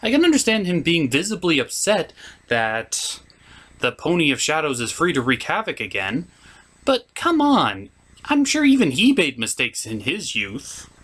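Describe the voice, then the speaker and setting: A man's voice: a little high, wildly swooping pitch, moderate pace, a bit bright, breathy edge. A man hosting a comedic cartoon review, giving commentary in a sarcastic, exasperated manner.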